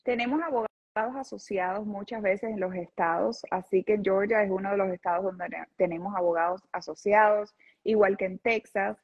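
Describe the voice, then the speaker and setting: A woman's voice: medium pitch, steady tone, a little fast, hardly any pauses, husky. A woman in her thirties, a lawyer, explaining cases in earnest on a video call with frequent restarts. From lived in Venezuela, not born there. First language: Spanish. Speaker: personal injury lawyer, guest. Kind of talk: explaining legal cases in an interview. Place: office with a city window behind. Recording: dull lo-fi video call audio.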